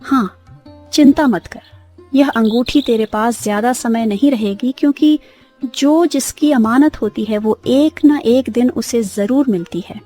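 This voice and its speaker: A woman's voice: fairly high pitch, a little slow, dark tone, faint music behind. A woman voicing an angry, righteous talking myna bird in a cartoon story, scolding with stern emphasis. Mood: stern warning. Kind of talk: Hindi cartoon story dialogue.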